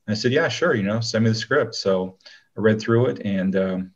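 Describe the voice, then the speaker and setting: Male interview guest, lower register, loose and rambling delivery with hesitant pauses. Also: highs cut off. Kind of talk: casual interview chat.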